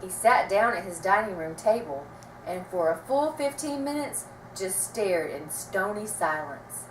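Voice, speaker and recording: A woman reading a children's story aloud with expressive, dramatic storytelling delivery. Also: mid-range voice, a little slow. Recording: roomy, distant mic, faint noise.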